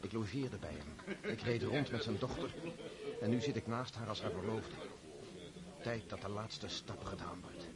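An actor in a Dutch radio drama playing a brooding, earnest young Russian count who reproaches himself. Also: male voice, lowish voice, normal speed, a loud crowd, natural voice. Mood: inner dread. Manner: earnest, self-accusing. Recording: hissy old radio play recording.

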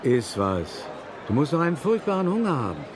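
A man's voice: mid-range voice, wildly swooping pitch, fairly quick, crowd noise. An actor playing an art dealer who urgently coaxes his companion in radio play dialogue. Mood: anxious coaxing. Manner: urgent, coaxing. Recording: noisy crowded tavern ambience.

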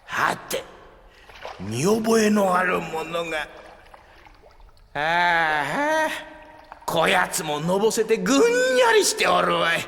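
A man's voice: sits high, animated, slow, pauses often, pushed and bright, heavily acted. An actor playing a lecherous, gleeful old man with theatrical, old-fashioned speech in an audio drama. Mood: theatrical concern. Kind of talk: Japanese audio drama acting. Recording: long reverb, clearly noisy.

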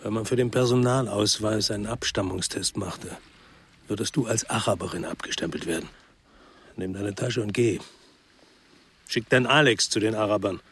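An actor playing a brooding former policeman who narrates his own crime story in a measured, terse way for radio drama. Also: lowish voice, fairly bright tone, slightly rough. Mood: bitter. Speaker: first-person narrator and lead actor.

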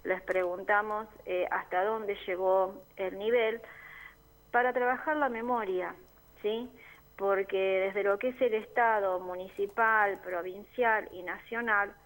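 Argentine woman, radio guest, mid-pitched, earnest, explains steadily with fillers. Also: poor audio. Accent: Argentine Spanish. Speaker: neighborhood assembly member, guest. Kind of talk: radio interview. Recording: community radio studio.

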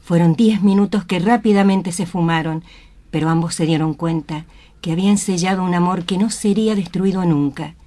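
A woman's voice: on the low side, moderate pace, dark tone. A woman reading scripted, dramatic narration for a radio play.

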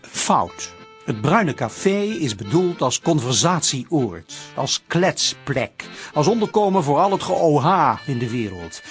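An actor playing a wry narrator with a Netherlands Dutch accent, formally introducing a radio comedy. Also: male voice, fairly high pitch, very animated, slow pace. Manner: tongue-in-cheek, formal. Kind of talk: scripted narration. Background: music.

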